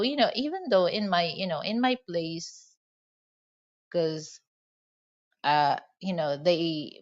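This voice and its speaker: A woman's voice: lowish voice, fairly bright tone, highs cut off. A woman from the Philippines with a Filipino accent, a native Hiligaynon speaker, talking casually in a rambling vlog monologue full of "you know" fillers. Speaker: vlogger.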